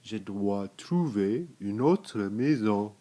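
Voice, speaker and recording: A big, clumsy storybook bear played by a man reading aloud speaks in a low voice. He is earnest and makes his declarations emphatic and drawn out. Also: expressive, extremely slow, warm tone, exaggerated voice. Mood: dismayed.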